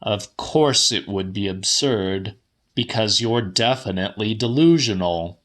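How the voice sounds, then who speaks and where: A man giving a dramatic first-person audiobook reading of literary fiction, with deliberate, emphatic, theatrical delivery. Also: fairly low pitch, a bit bright. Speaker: audiobook narrator.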